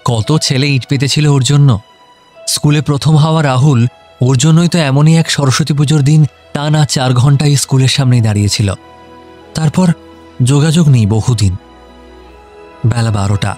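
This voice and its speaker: A male narrator reads a scripted love story. His voice sits at a medium pitch, with faint music playing underneath. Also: normal speed, long pauses, darkish tone.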